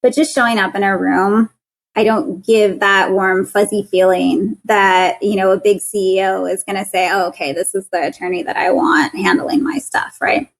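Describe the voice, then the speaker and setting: A woman in her thirties whose voice sounds young, speaking at an ordinary middle pitch. She talks in an earnest, conversational way as an interview guest, often laughing and restarting her words. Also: steady tone, moderate pace, gravelly, poor audio. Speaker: guest, patent attorney.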